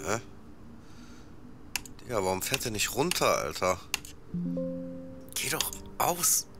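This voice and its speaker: A man's voice: fairly low pitch, very animated, slow, over loud music. Casual, slangy man in an audio drama. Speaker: rapper playing himself in an audio drama. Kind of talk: casual slangy muttering, acted dialogue.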